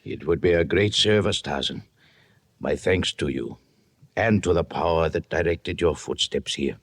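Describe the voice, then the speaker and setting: Earnest, low-voiced refugee settler leader. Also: male voice, expressive, normal speed. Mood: solemn gratitude. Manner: formal, biblical turns of phrase. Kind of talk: old-time radio drama acting. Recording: noisy old radio recording.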